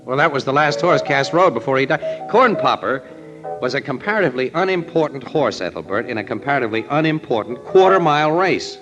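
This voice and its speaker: A breezy American crime photographer played by a male actor in a vintage radio play. He wisecracks in a medium-pitched voice with easy flippancy. The broadcast sound is muffled, with music behind. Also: very animated, a little fast, close to an everyday voice. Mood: matter-of-fact. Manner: flippant, easygoing banter. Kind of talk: 1940s radio acting.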